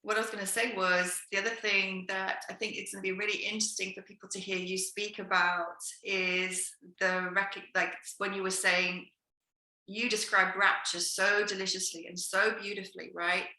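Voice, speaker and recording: A woman hosting a heartfelt conversation, her voice croaky and a little weak as she recovers from illness. Her tone is bright and forceful despite the rasp, and she speaks effusively. The sound carries a roomy echo. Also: mid-range voice, level tone, normal speed. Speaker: host. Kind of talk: casual conversational interview.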